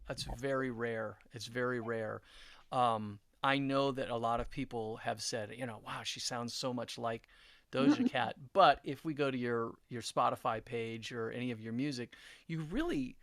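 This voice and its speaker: A middle-aged man hosting a conversational interview in a friendly, earnest way. His voice sits at a middle pitch and is recorded dry and close, and he often repeats words as he restarts his sentences. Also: normal speed, fairly bright tone, no room sound. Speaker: interview host and producer.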